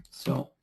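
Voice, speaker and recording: A man teaching trading software in a live online session, losing his voice from a dry throat and clearing it often, talking in a halting, unhurried way. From Idaho. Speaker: software trainer. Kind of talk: live webinar screen-share tutorial.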